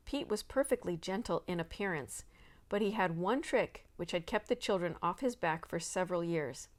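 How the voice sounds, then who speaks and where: A woman's voice: lowish voice, lively intonation, normal speed. A woman narrating a children's novel aloud, acting out the dialogue expressively. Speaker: storybook reader.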